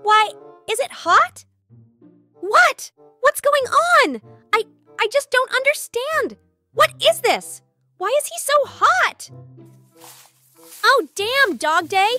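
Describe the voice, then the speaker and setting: A performer voicing a childlike cartoon cat in a comic dub, squeaky, anxious, grumbling and snarky, with music behind. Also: sing-song, slow, pauses often, pushed and bright, heavily acted. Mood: alarmed. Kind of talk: comic dub character acting.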